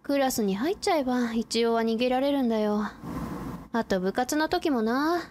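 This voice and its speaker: A woman playing a cool, blunt tomboy high school girl in a radio drama, with brusque, boyish speech. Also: moderate pace, natural voice. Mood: fed up. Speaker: supporting actor. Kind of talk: anime-like radio drama acting. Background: ambient noise.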